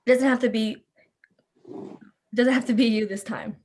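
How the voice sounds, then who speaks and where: A woman's voice: fairly high pitch, fairly even intonation, measured pace, a little mellow, slight background noise, natural voice. A woman playing an investigator's worried, protective wife in a dramatic script read, pleading and pointed. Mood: anxious, pleading. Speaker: actor in a table read.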